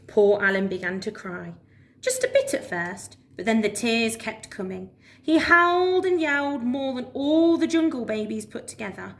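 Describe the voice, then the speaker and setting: A woman reading a children's story aloud as a storyteller, with expressive narration. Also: a little high.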